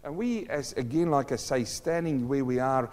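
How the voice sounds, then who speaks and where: Earnest pastor. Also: male voice, mid-range voice, normal speed, darkish tone, long reverb tail. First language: Afrikaans. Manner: earnest, addresses listeners as friends, asks rhetorical questions. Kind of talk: sermon.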